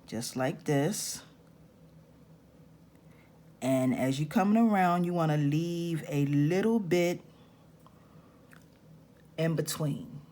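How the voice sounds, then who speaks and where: Slow-talking raspy woman teaching crafts. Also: deep voice, warm tone. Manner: slow, patient step-by-step instructions. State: raspy-voiced this morning. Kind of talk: hands-on craft tutorial.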